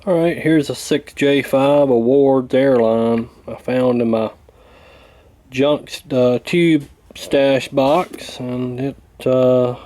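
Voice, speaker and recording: A man giving a casual hands-on repair walkthrough in a folksy, hesitant, unhurried way. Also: mid-range voice, fairly even intonation, mellow. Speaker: radio repair hobbyist demonstrating.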